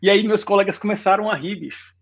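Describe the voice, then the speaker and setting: Um homem na casa dos quarenta com sotaque baiano misturado com carioca, contando sua trajetória numa conversa descontraída, com muitos "né?". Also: male voice, sits high, fast, creaky, dull audio. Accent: Bahian Portuguese mixed with Rio. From Salvador, Bahia, Brazil. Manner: relaxed storytelling, frequent "né?". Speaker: guest designer. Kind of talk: casual interview chat.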